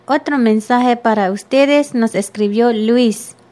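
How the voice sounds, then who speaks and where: A woman radio host introducing letters sent in by listeners, in a plain, measured way. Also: on the high side, over loud music.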